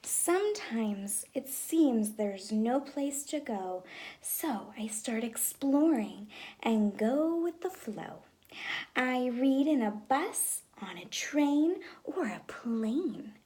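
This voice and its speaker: A woman teacher reading a picture book aloud in an expressive way, speaking to young children. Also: fairly high pitch, slow pace. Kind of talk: children's story read-aloud. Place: room at home with reading chair.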